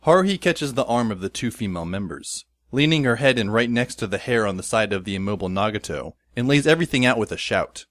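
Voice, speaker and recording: Wry, low-voiced teenage schoolboy narrator played by a man. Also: expressive, quick pace, few pauses, a bit bright, no room sound, clean studio sound. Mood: wry, measured. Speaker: narrator and lead character. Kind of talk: first-person scripted audio drama narration. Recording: music behind the voice. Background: instrumental music.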